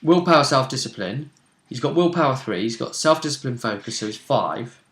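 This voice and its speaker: A man's voice: mid-range voice, animated, fairly quick, fairly bright tone. A man speaking as a game master, casually recapping a tabletop game. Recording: echoey room sound.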